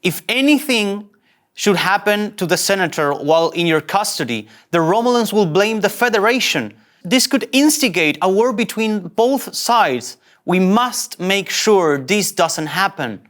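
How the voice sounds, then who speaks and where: An actor playing an insecure, excitable fake starship captain, earnest and grandiose yet easily flustered, at a sci-fi table read. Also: mid-range voice, unhurried. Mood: formal, pleading.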